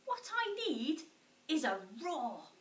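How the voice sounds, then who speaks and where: Woman voicing a high squeaky little boy mouse. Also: sing-song, slow, a bit bright, full character voice. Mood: eager, determined. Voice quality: squeaky, peeping. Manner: polite, eager. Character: small timid but plucky male mouse, friendly. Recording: echoey room, distant mic.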